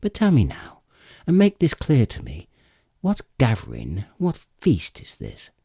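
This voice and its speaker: A man's voice: mid-range voice, wildly swooping pitch, a little slow, creaky, mellow, breathy edge, no echo, studio quality, exaggerated voice. A man voicing a goddess disguised as a seafaring lord, assured and questioning, in a declamatory epic audiobook reading. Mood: probing, disapproving.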